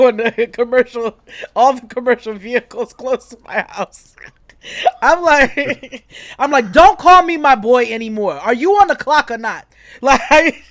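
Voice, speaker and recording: Deep-voiced woman, lively storyteller. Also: dry recording. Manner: animated storytelling, laughs often. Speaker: podcast co-host. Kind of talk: casual recap chat.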